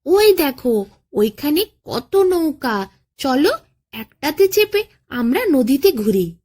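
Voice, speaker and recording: A woman's voice: high voice, sing-song, takes it slowly, warm tone, full character voice. A woman voicing a sly, mischievous jackal in a children's folk tale, wheedling and falsely friendly. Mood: coaxing. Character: sly, mischievous jackal nephew who tricks tiger.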